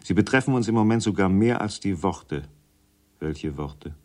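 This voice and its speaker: Deep-voiced man reading deadpan prose. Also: normal speed. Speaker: literary reader.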